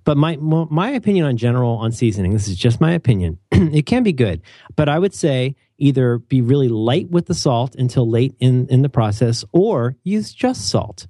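A man's voice: medium pitch, moderate pace, no room sound, clean studio sound. Opinionated, jokey man. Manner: animated, opinionated and jokey, with many restarts. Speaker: podcast host. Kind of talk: casual chat.

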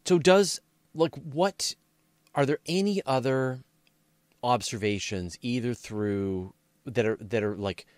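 A man's voice: mid-range voice, slow pace, no room sound. A man hosting a casual science interview, thinking aloud informally as he builds long, rambling questions. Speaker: interviewer, host.

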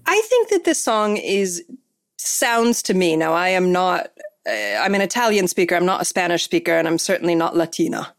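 A woman's voice: on the low side, lively intonation, moderate pace. A woman hosting a podcast, chatting casually and enthusiastically, with lots of fillers and restarts. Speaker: podcast host. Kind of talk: casual chat.

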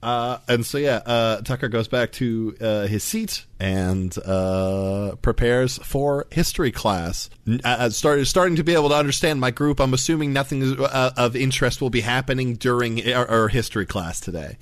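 A man's voice: mid-range voice, moderate pace, hardly any pauses, no room sound. A man narrating as game master, chatty and rambling, with many fillers and restarts.

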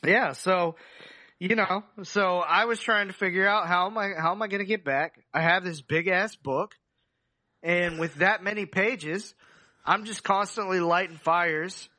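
A man with a notably high, bright voice tells a comic story to friends. His talk is loose and rambling, full of casual slang and swearing, like a podcast co-host hanging out. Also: measured pace.

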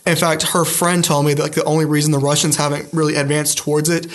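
A young man with a slightly high but flat voice, speaking haltingly and filling pauses with little hesitations. He sounds like a student chatting through an interview, circling back and restarting his thoughts. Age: young adult. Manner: hesitant, many fillers and restarts. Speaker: student guest. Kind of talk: casual interview.

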